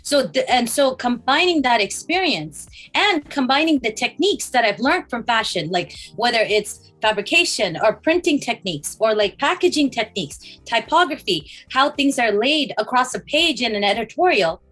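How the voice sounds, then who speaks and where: A woman's voice: on the high side, animated, normal speed, few pauses, a bit bright, faint music behind. Designer woman answering interview questions. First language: English first language. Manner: listing, thinking aloud with fillers, occasional coughs.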